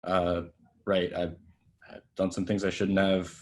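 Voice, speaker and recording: A man plays a reckless young hot-shot actor with a very low voice. He is defensive and hesitant, speaking casually as in a naturalistic film drama read aloud. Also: completely flat delivery, measured pace. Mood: awkward, defensive. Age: young adult. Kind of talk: screenplay table read, naturalistic acting.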